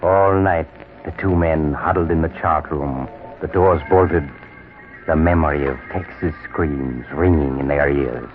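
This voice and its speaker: An actor playing a sinister, cold-blooded doctor who narrates horror tales in an ominous, deliberate 1940s radio style. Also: male voice, a bass voice, over music. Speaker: horror show host. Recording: tinny vintage radio, narrow band.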